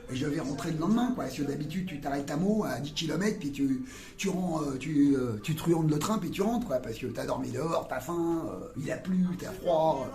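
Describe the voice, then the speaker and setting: A middle-aged man talking casually in a street interview, rambling and slangy, with many fillers and musings. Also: a little high. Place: outdoors on a town street. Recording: roomy sound with loud music behind.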